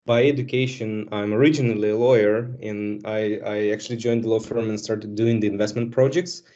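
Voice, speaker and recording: Belarus guy in his 30s, low mellow voice, talks smooth and businesslike, bit muffled audio. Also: fairly even intonation, fairly quick. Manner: fluent, articulate, businesslike. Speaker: student speaker. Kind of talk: personal testimonial. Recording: dull lo-fi sound.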